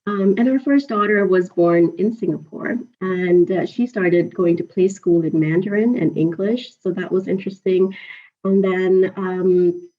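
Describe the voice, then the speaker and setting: A woman in her forties whose first language is Urdu, telling her story as an interview guest, lively and chatty with frequent laughs and fillers. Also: fairly low pitch, unhurried. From Pakistan. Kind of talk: conversational interview. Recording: slightly dull lo-fi audio.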